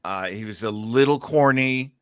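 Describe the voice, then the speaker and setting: A man's voice: mid-range voice, moderate pace, clear, resonant tone, no room sound, clean studio sound. A man hosting a casual podcast about old-time radio, chatting and commenting with wisecracking banter. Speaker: podcast host.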